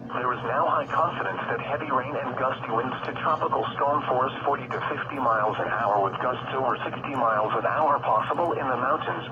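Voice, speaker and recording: A synthetic man's voice of medium pitch reads weather bulletins formally and impersonally. It comes over a weather radio, heavily covered in noise, with a dull, limited sound. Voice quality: synthetic text-to-speech voice. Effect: radio broadcast. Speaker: automated weather radio announcer. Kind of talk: scripted weather bulletin reading.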